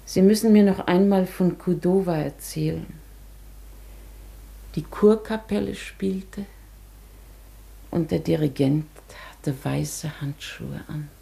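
Low-voiced, creaky, dignified lady. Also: mellow. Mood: wistful, subdued. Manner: measured, formal, composed. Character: dignified, wistful, resigned lady hotel guest. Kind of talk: German radio play acting.

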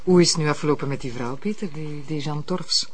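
A woman acting a defensive, indignant commissioner's wife in a TV crime drama, with an expressive Flemish delivery. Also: deep voice, fairly quick, natural voice. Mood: casual.

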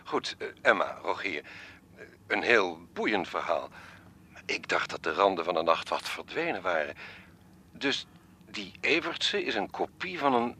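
An actor playing an elderly, courteous, wistful art collector in a radio drama, speaking in a formal, measured, reflective way. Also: male voice, deep voice, very animated, pushed and bright, slightly rough, full character voice. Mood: intrigued, courteous. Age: 70s or older.

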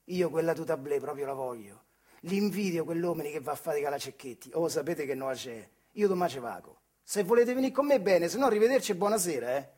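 Husky old worker, Marche accent. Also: male voice, fairly high pitch, animated, fast, darkish tone. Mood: eager, nostalgic. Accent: central Italian, Marche. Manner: earthy, colloquial, acts out dialogue. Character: retired Italian factory worker recalling his wartime boyhood. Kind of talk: theatrical monologue storytelling in dialect-tinged speech.

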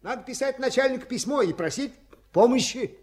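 A grumbling, quarrelsome raftsman with a high-pitched voice. He is querulous and sarcastic, in old radio-play style. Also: animated, a little fast, darkish tone. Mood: indignant, sneering. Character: grumbling, quarrelsome timber worker. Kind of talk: Soviet radio drama acting.

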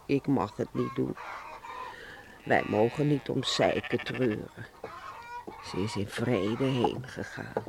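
An actor playing a lonely, resigned old shepherd in a radio play. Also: male voice, medium pitch, takes it slowly, gravelly, long pauses. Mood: wistful. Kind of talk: Dutch radio play acting. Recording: noisy old radio recording, farm animal effects.

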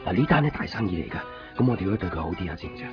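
A man's voice: low-pitched, expressive, racing. An actor playing an eager, shy young copy-shop man in a Cantonese radio drama, earnest and prone to stammer and repeat himself. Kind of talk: Cantonese radio drama dialogue and inner narration. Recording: muffled 1990s radio broadcast, music underneath. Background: music.

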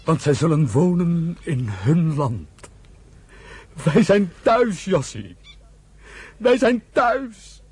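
Husky, impassioned young migrant. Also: male voice, a little high, big pitch swings, extremely slow, long pauses, a little mellow, no room sound, heavily acted. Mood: awed, overjoyed. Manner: impassioned, exclaiming. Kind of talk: Dutch radio play acting.

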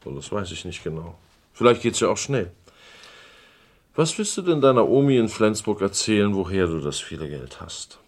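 An actor playing a middle-aged businessman under pressure in a German radio crime drama, measured, persuasive and evasive. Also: lowish voice, sing-song. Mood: coaxing.

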